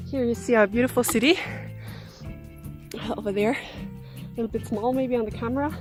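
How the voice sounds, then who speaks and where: A woman's voice: on the high side, normal speed, music in the background. Austrian woman talking while running. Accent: Austrian German. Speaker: runner guiding a trail tour. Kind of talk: casual walk-and-talk to camera. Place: outdoors, forest trails and park.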